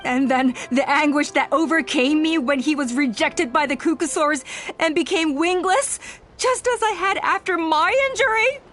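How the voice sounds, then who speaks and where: Proud, scheming female clan elder, high-voiced. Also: a bit bright. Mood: anguished. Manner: measured, persuasive, formal speechmaking. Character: proud, scheming clan elder woman, zealous and self-righteous. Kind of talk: scripted video game voice acting. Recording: music under the voice.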